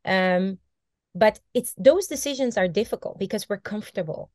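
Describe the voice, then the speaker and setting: A woman in her forties, a native Dutch speaker, telling her story with animated gestures over a video call from a bright room at home. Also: mid-range voice, moderate pace. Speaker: guest, mindset coach. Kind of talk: casual video-call interview. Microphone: video call laptop mic.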